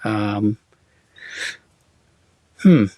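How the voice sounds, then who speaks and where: Middle-aged guy who grew up in germany, halting answers, lots of ums, dry close sound. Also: lowish voice, animated, no room sound, lo-fi sound. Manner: hesitant, halting with many fillers and restarts. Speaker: interview guest. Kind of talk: casual conversation.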